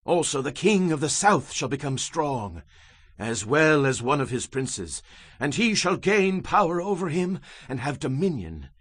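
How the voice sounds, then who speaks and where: Expressive man reading scripture, husky edge. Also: a little high, unhurried. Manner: solemn, declamatory. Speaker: Bible narrator.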